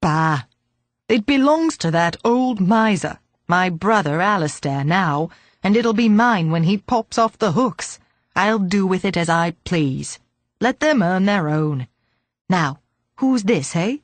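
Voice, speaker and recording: A woman reading a storybook voices a frail, grumpy old man who is gruff but kindly and speaks in a creaky, growling way. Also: fairly low pitch, expressive, slow, no echo. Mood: grumbling. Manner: grumbling, gruff.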